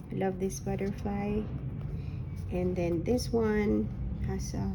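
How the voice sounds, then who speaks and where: A woman with a hoarse voice that is recovering from a sore throat, showing a handmade journal folio in a soft, unhurried way with frequent ums. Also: mid-range voice, noisy background. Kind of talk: slow, casual craft flip-through.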